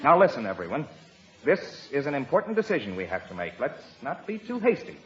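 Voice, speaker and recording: A man's voice: medium pitch, huge pitch swings, fairly quick. An actor playing a blustery, sardonic middle-aged father in a 1950s radio comedy. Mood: flustered, blustering. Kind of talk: 1950s radio sitcom acting. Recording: muffled echoey old radio recording, noisy audience.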